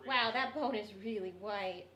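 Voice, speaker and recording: A woman playing a deadpan, unsympathetic co-worker in a comedy radio sketch. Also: mid-range voice, a little slow.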